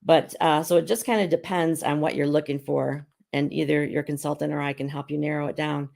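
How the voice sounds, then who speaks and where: Woman, middle-aged, hoarse from a cold, low-pitched, gravelly, chatty, rambling, skincare demo host. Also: steady tone, a little fast, darkish tone. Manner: chatty and rambling, with frequent restarts. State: hoarse, recovering from illness. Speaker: beauty consultant and show host. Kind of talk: casual live skincare demo.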